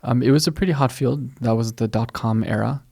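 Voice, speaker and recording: A man's voice: mid-range voice, a little fast, gravelly, husky. A middle-aged man from the United States, a guest scholar talking casually in a podcast interview, hesitant and full of restarts. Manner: soft-spoken, hesitant, many restarts. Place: mosque studio.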